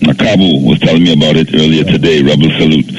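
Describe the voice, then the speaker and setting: A man's voice: extremely low pitch, fairly even intonation, fast. A man from Trinidad with a Trinidadian accent, speaking courteously as a guest in a conversational interview. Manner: polite, courteous. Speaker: interview guest, communications executive. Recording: dull lo-fi radio link, faint hiss.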